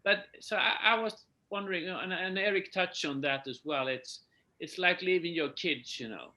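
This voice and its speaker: Bright-voiced man moderating a webinar. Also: sits high, normal speed. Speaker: panel host.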